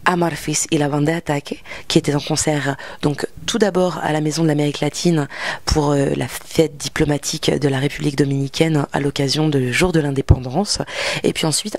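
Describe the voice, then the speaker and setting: A man's voice: fairly high pitch, no room sound. A native French-speaking man with a French accent, hosting a music TV interview.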